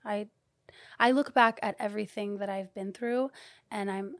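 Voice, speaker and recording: A woman in her thirties, a guest in a candid podcast conversation, talking animatedly with laughs and the odd curse, and dropping to a hush now and then. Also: medium pitch, normal speed, no room sound. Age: 30s. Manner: animated, swearing, laughing, shifting from loud to hushed. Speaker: guest, community leader and business owner.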